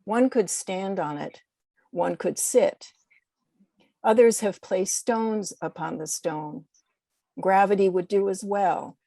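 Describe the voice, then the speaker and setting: Reflective woman, creaky voice, very dry sound. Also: mid-range voice, slow, dark tone, no room sound. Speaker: poet, podcast guest. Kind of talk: reflective literary talk and reading.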